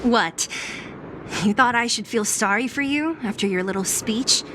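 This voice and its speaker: A woman's voice: a little high, unhurried, noisy background, close to an everyday voice. A woman playing a brash, tough young underworld woman in a game cutscene, blunt and sarcastic. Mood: irritated, mocking. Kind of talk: dramatic game cutscene voice acting.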